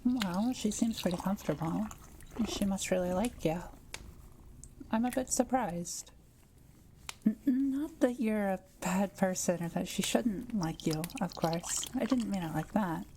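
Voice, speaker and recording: Woman acting a gentle, mellow-voiced forest witch. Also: mid-range voice, a little slow, slight background noise, lightly acted. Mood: gently surprised, flustered. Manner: gentle, hesitant, softly teasing. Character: kind, shy, quiet forest witch. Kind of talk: intimate audio roleplay, speaking to the listener.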